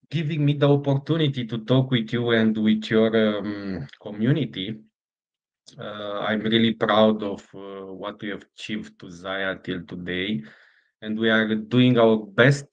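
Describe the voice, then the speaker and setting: A middle-aged man from Romania with a Romanian accent, a startup founder answering interview questions in halting speech full of fillers and restarts. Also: on the low side, muffled recording.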